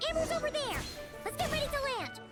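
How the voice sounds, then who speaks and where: A woman voicing a tiny, excitable, childlike fairy companion in an anime game, squeaky and exclamatory. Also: quick pace. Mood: excited, urgent. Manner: squeaky, exclaiming, speaks of self in third person. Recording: voice under loud game music.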